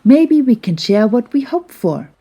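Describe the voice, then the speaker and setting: A kindly, wise male friend from a storybook, played by a woman, offers calm reassurance. The voice is mellow and slightly husky, with an earnest, unhurried delivery. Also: fairly high pitch, full character voice.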